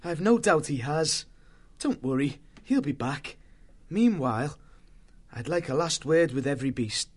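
A man's voice: on the high side, lively intonation, measured pace, pitched above the performer's own voice. A man voicing an earnest male warrior mouse leader in a storybook, firm and commanding. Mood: reassuring.

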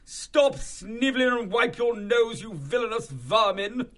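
A man's voice: extremely high pitch, a little slow, fairly bright tone, pitched above the performer's own voice. A man voicing a jaunty, bossy military animal in a storybook, blustering with old-fashioned officer banter. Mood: scornful bluster.

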